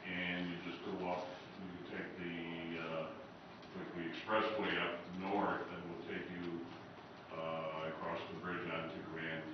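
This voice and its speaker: A man's voice: sits low, little pitch movement, measured pace. A man in the audience at a convention, casually explaining something in a hesitant, piecemeal way. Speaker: audience member answering questions. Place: convention presentation room. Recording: distant, muffled, echoey hall with noise.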